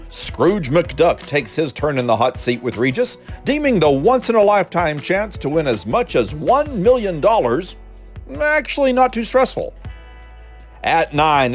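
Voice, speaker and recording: A man presenting comic TV listings from a script, with the showman-like delivery of a game show announcer. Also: on the high side.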